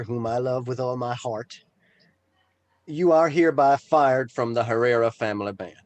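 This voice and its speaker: A man's voice: medium pitch, a little mellow, lightly acted. Man playing a grave, formal elder brother. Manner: formal, measured, dramatic phrasing. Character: grave, affectionate older brother Sasha, solemn and formal. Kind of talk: table read of a pilot script.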